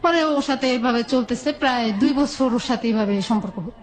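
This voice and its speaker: A woman's voice: on the high side, moderate pace. A woman with a Bangladeshi accent telling her own life story in a colloquial, conversational way, often quoting dialogue back and forth.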